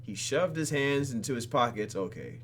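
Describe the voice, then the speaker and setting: A man's voice: mid-range voice, lively intonation, normal speed, a bit bright, a little hiss or hum. A man hosting a let's play, reading a game script aloud with joking, slangy asides.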